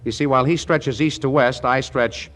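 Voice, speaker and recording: An actor playing a brash, naive young small-town songwriter in 1940s radio comedy, eager, boastful and stammering, with a folksy way of talking. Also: male voice, mid-range voice, steady tone, normal speed, lightly acted. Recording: noisy vintage 1940s radio broadcast.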